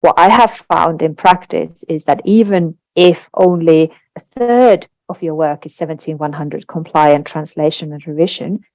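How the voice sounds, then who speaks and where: A woman with a low voice whose first language is Finnish walks listeners through a process in a webinar. She explains patiently, with the odd filler and tag question. The sound is dry and close, with no room in it. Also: darkish tone, no room sound. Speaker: webinar presenter on translation standards. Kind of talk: webinar presentation.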